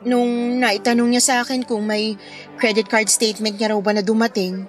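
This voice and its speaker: A woman's voice: a little high, level tone, normal speed, close to an everyday voice. A woman with a Filipino accent playing a lovesick, stubborn bride-to-be in a radio drama, speaking in a hesitant, plaintive way. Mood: defeated, evasive. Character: lovesick, stubborn, troubled bride-to-be clinging to her fiancé. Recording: music playing behind the dialogue.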